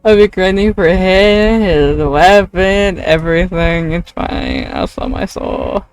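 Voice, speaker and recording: A woman's voice: on the low side, very animated, takes it slowly, a little mellow, clear, resonant tone, no echo. A woman hosting a casual gaming stream, giggly and playfully dramatic as she chats. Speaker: livestream host. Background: background game music.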